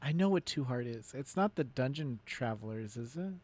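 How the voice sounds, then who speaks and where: A man's voice: mid-range voice, lively intonation, normal speed, darkish tone. A man chatting casually as he streams a game, rambling as he thinks aloud, with loose, sweary, nerdy commentary. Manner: self-described nerdy enthusiast. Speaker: game streamer hosting a let's play. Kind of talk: casual live gaming commentary and chat.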